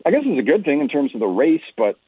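A man's voice: on the high side, very animated, quick pace, husky, dry recording. A man giving off-the-cuff hockey analysis as a radio guest, talking conversationally and often rephrasing as he goes. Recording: muffled, phone-like radio call-in line.

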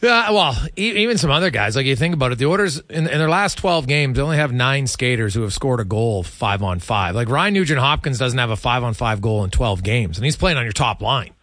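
A man's voice: mid-range voice, lively intonation, brisk, few pauses, fairly bright tone, dry recording. A man hosting casual sports radio talk in a conversational, opinionated way, close on the microphone.

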